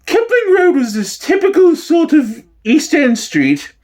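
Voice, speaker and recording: A man's voice: very high-pitched, wildly swooping pitch, a little slow, darkish tone, pitched above the performer's own voice, full character voice. A man voicing a cheery, nostalgic elderly East End woman who gossips fondly, in a comedy sketch. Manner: chatty, gossipy, blithely cheerful.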